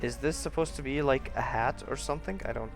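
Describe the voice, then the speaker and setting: A man's voice: medium pitch, fairly quick. A man streaming a game with casual commentary, muttering short reactions to himself. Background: faint game music.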